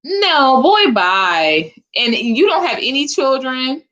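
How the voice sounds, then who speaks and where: A woman's voice: high-pitched, big pitch swings, takes it slowly, bright, forceful tone, dull audio, slight background noise. A woman in her forties hosting a live stream, chatting casually and informally with her viewers as if among girlfriends. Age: 40s. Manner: chatty and informal, addresses viewers as sis and honey. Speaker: host of a live chat stream.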